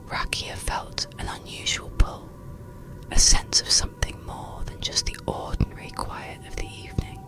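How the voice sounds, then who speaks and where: A narrator whispering a bedtime story and guided relaxation in a slow, gentle, soothing ASMR style. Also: rumbling low, creaky, poor audio.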